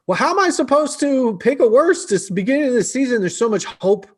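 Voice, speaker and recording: A man co-hosting a sports podcast, playful and upbeat, tossing out jokey shout-outs. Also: extremely high pitch, very animated, brisk, breathy edge. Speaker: podcast co-host. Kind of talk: casual sports chat.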